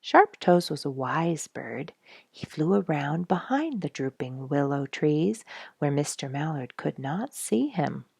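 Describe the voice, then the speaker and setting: A woman with a low voice and a dry, close sound reads a children's book aloud. She tells it expressively, leaning on key words with dramatic emphasis. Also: very animated, unhurried, gravelly, darkish tone, husky, no echo. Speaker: storyteller reading a children's book.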